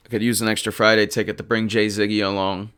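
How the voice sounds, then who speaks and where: A man hosting a live sports talk stream, casually answering chat and arguing football. His voice is hoarse, and he gets emphatic when he runs through stats. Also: lowish voice, fast. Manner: animated and emphatic, rattling off stats. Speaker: livestream sports show host.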